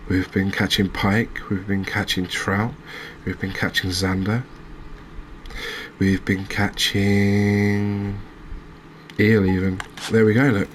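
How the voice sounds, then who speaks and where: A man giving gentle, unhurried ASMR commentary as he plays a game, pausing and hesitating as he goes. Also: lowish voice, level tone, slight background noise. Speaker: host of a gaming channel. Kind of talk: ASMR let's-play commentary.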